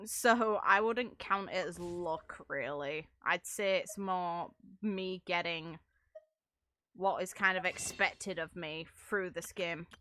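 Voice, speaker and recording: A woman game streamer giving casual, chatty gameplay commentary, thinking aloud in an excitable way. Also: lowish voice, a little hiss or hum.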